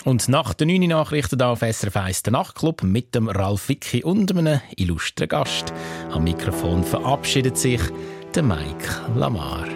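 A man with a Swiss German accent reading scripted radio announcements in a smooth presenter style. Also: mid-range voice, big pitch swings, normal speed, faint music behind.

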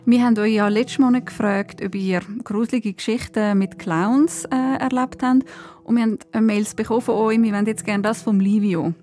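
A woman's voice: medium pitch, steady tone, brisk, dark tone. A woman co-hosting a podcast, chatting casually. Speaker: podcast co-host and producer.

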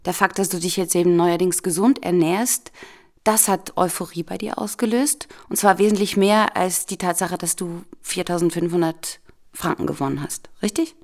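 A woman's voice: fairly low pitch, normal speed, a little mellow. A woman talking conversationally as a questioner and co-presenter in a radio feature. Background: guitar music.

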